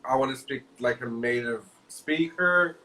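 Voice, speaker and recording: A man's voice: medium pitch, a little slow, pushed and bright, slight background noise. A man from Brazil with a Brazilian accent, co-hosting a casual chat on a video call and often restarting his sentences. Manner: hesitant, many restarts. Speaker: co-host.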